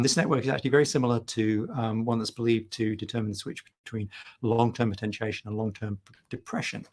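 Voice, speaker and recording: A middle-aged man giving a keynote lecture over slides on a video call, full of ums and restarts. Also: on the low side. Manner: hesitant, many ums and restarts. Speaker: keynote speaker. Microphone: webcam on video call.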